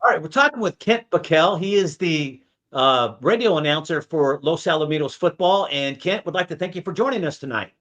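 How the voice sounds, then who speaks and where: A man's voice: a little high, expressive, brisk, few pauses, fairly bright tone, muffled recording. An American man hosting a sports show, talking conversationally as an interviewer.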